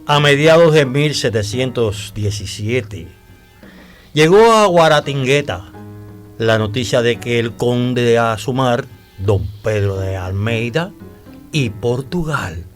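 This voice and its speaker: Guy playing a devout talkative man in a radio drama, lively pitch swings, dramatic storytelling, music behind. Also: close to an everyday voice. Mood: reverent, eager. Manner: dramatic, deliberate storytelling with hesitations. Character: devout, talkative male storyteller revealing old secrets.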